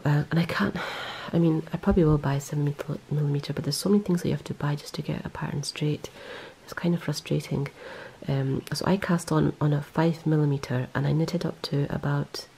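Woman, low dark gravelly voice, rambling self-correcting show-and-tell. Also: steady tone, fairly quick, low-quality recording. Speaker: knitting vlogger. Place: indoors, close-up on hands and yarn.

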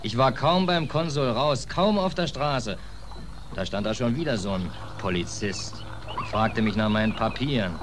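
An actor playing a stubborn, proud sailor without papers in a 1940s German radio drama, sardonic and indignant in colloquial speech. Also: male voice, mid-range voice, close to an everyday voice. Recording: noisy old 1940s radio recording.

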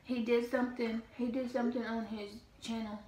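A young American woman speaks in a high voice with casual, offhand slang. She sits back from a camera mic in an echoey, slightly noisy room. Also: level tone, a little fast, darkish tone. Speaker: vlogger's friend. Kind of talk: casual chat. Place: small home room. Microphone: camera mic, sitting back from it.

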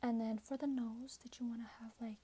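A young woman in her twenties doing soft-spoken ASMR roleplay, often whispering, with a gentle, hesitant, polite customer-service manner. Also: on the high side, level tone, fairly quick, breathy edge. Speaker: ASMR creator playing a robot companion clerk. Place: small plain room indoors. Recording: hissy background noise, keyboard typing. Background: keyboard typing and clicks.

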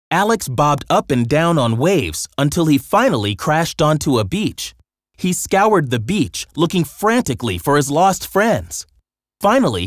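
A storybook narrator reading a scripted children's tale in an expressive, dramatic way. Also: medium pitch, no echo, studio quality.

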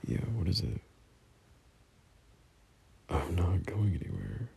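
Man acting as gentle young boyfriend, ASMR roleplay, extremely low voice, soft half-whisper, unhurried, teasing, soothing, breathy. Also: big pitch swings, heavily acted. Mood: surprised, teasing. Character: gentle, teasing young boyfriend comforting his scared partner. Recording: faint background hiss.

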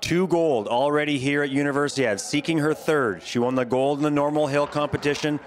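A man giving measured play-by-play commentary on a ski jumping competition, speaking as a voice-over. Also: on the high side. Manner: calm, measured play-by-play, lifting on big jumps. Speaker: sports commentator.

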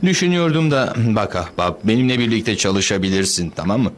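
A man voicing a blunt, greedy, easygoing buddy in a dubbed cartoon, talking in a casual, halting, tactless way. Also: fairly low pitch, expressive, a little hiss or hum, close to an everyday voice. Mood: casual, pleased.

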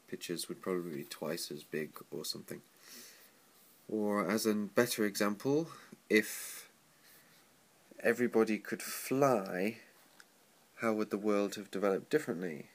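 A young man with a stuffy nose and a cold-lowered voice, rambling casually with many ums in a video diary recorded on a close webcam in a small room. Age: young adult. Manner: relaxed, rambling, frequent ums. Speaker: English teacher vlogger. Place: small room with sliding paper screens, indoors. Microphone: laptop webcam close up.

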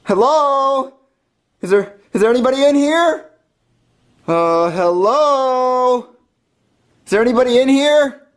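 A man's voice: very high-pitched, animated, takes it slowly, pauses often. A young man voicing a bewildered plush hero in a comic puppet skit at home, exclaiming in confusion. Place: suburban house indoors.

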